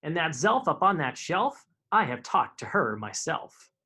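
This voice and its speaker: A man's voice: fairly high pitch, big pitch swings, unhurried, pushed and bright, husky. A man reading children's picture books aloud in a live storytime, with informal asides to his young listeners.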